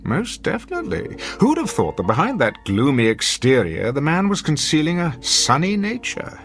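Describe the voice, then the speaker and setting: An actor playing a suave, manipulative middle-aged husband, urbane and theatrical, in an old-time radio drama. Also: male voice, on the high side, huge pitch swings, normal speed, a bit bright, soft background music, exaggerated voice. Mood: intrigued. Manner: pompous, theatrical, urbane, goading.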